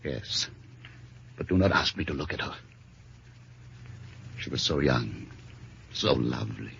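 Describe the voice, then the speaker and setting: A wealthy middle-aged husband in an old detective radio drama, full of wounded dignity. His voice is deep and very husky, swinging theatrically through formal, measured phrases. The recording is dull and lo-fi. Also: expressive, a bit bright, slight background noise, full character voice. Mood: grieving, formal. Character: smooth, defensive older wine merchant husband, wounded dignity.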